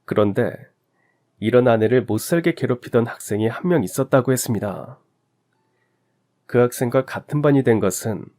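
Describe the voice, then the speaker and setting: A man narrating a scripted family story from the husband's point of view. His delivery is calm and sympathetic, at a medium pitch typical for a man.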